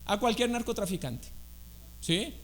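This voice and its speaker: Man, Colombian accent, high-pitched voice, animated conversational sermon, church. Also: a little slow. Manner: conversational, animated, asks the congregation questions. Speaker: guest preacher.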